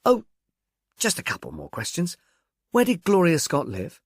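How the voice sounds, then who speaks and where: A man voicing a brisk, businesslike police inspector in an audiobook, with a dispassionate, official, probing manner. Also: a little high, big pitch swings, creaky, no echo, studio quality, pitched above the performer's own voice, exaggerated voice. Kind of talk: audiobook character dialogue.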